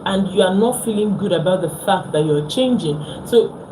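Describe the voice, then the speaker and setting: A woman's voice: mid-range voice, lively intonation, normal speed, noisy background. Chatty Nigerian woman on a live stream. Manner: chatty, repeats herself, answers viewers. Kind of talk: casual live-stream talk. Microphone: phone.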